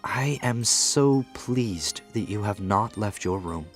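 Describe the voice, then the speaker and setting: A lordly husband acted out by a woman speaks very low, with faint music underneath. He is courteous and formal, yet clearly in command, like the master of the palace in a storybook tale. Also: lively intonation, takes it slowly, exaggerated voice. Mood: warm, commanding. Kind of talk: storybook audiobook character.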